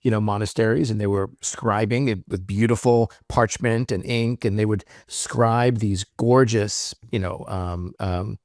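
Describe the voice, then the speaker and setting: A man's voice: on the low side, steady tone, a little slow, few pauses, darkish tone, dry recording, studio quality. A man guest speaking on a podcast, explaining ideas thoughtfully with frequent "um" and "right?". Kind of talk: reflective interview talk.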